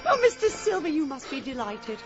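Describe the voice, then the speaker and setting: Effusive genteel village woman: high pitch, fluttery, very animated, polite. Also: fairly quick. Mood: delighted. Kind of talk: radio drama acting. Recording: slightly dull, music behind.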